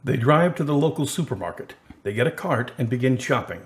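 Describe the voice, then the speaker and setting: Male narrator, medium pitch, plain, matter-of-fact, scripted radio play narration. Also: lively intonation, a little fast.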